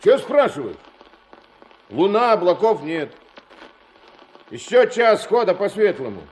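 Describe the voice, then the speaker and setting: An actor playing a gruff, strict old river captain and grandfather, curt and moralising, in a Soviet radio play. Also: high voice, sing-song, measured pace, a crowd in the background. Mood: businesslike. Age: older. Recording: noisy old 1970s mono radio recording.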